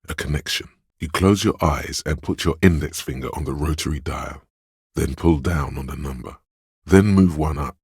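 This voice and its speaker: Casting: a brooding inner-voice narrator in a detective game. Extremely low, heavily rasped male voice, deliberate and intimate. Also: darkish tone, dry recording, clean studio sound, heavily acted. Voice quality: very deep, gravelly, husky. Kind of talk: dramatic second-person game narration.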